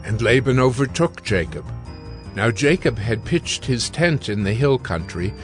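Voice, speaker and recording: Male Bible narrator, medium pitch. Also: expressive, soft background music. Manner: measured, storytelling. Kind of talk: scripted audio Bible narration.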